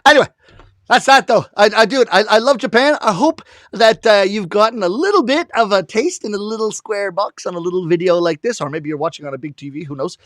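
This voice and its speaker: Animated, high-voiced male storyteller. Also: brisk, no room sound, clean studio sound. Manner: animated, chuckles at his own jokes. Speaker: rakugo storyteller. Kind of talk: comedic storytelling to camera.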